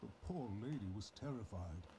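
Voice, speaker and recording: An actor playing a smug, scheming clan official in a video game, pompous and wordy with false courtesy. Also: male voice, fairly low pitch, expressive, moderate pace, background noise. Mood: haughty, dismissive. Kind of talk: English video game voice acting.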